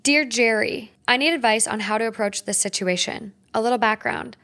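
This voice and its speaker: A woman co-hosting an advice podcast, reading a listener's letter aloud in an even, steady way. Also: fairly high pitch, normal speed.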